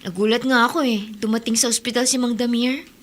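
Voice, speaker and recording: A woman's voice: fairly high pitch, fairly even intonation, brisk, slight background noise, lightly acted. A woman playing an eager younger sister. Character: younger sister, chatty and shaken.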